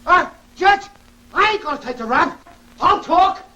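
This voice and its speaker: High-pitched, streetwise cornered crook. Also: male voice, lively intonation, a little slow, pushed and bright, lo-fi sound, exaggerated voice. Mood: panicked, pleading. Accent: American. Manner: streetwise, ungrammatical tough talk. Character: cornered small-time crook under questioning, surly then pleading. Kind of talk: 1940s film serial acting. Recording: old 1940s film soundtrack, distant mic.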